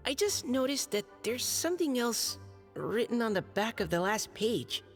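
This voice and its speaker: An actor voicing a shy, nervous young knight boy in a video game, polite and hesitant, with a stammer. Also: medium pitch.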